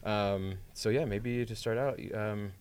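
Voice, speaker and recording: A man hosting a sports talk podcast, asking questions in a relaxed, hesitant way with short friendly replies. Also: lowish voice, unhurried, smooth. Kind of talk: casual interview chat.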